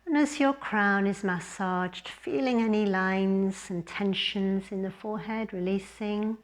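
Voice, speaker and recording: Female yoga teacher, unhurried gentle delivery for guided relaxation, mid-pitched. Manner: gentle, unhurried, with long pauses between short phrases.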